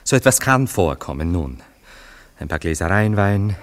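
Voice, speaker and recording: Deep-voiced, anxious jealous lover. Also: male voice, very animated, moderate pace. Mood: brooding, insistent. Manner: hesitant, elaborate, earnest, theatrical phrasing. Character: jealous, wavering, anxious lover, given to brooding doubts. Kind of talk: German radio play acting of a stage comedy.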